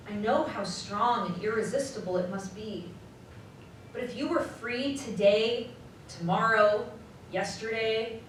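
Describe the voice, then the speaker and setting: A woman's voice: on the high side, a bit bright. A woman playing a sorrowful, earnest young fiancée in formal period dialogue for a live radio drama. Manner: earnest, measured, formal phrasing. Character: sorrowful young fiancée releasing her money-obsessed lover. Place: live community theatre stage. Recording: very echoey live hall, distant, faint noise.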